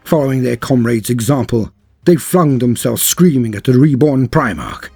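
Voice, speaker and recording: A man's voice: mid-range voice, lively intonation, moderate pace, creaky, a little mellow, husky, no echo, soft background music. A man narrating a scripted tale in a grave, theatrical manner, drawing out words for emphasis. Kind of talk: dramatic scripted lore narration.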